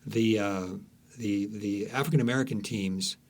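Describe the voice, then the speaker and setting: A man's voice: fairly low pitch, normal speed. A man speaking as an author being interviewed, telling stories conversationally with frequent false starts and repeated words.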